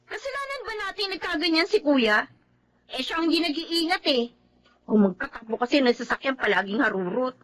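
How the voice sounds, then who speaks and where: An actress playing a vain, sharp-tongued young office woman who talks in a sarcastic, boastful way. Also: high voice, sing-song, brisk. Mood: defiant. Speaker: office worker. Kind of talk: audio drama acting.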